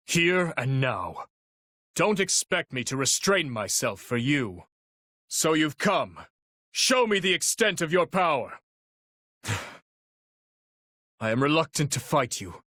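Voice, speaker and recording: A man's voice: mid-range voice, a bit bright, dry recording, studio quality, heavily acted. A man voicing a noble, earnest young knight-commander in a video game, speaking formally and solemnly with resolve. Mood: stern, defiant, then reluctant, grave. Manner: formal, measured, earnest delivery. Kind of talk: dramatic video game voice acting.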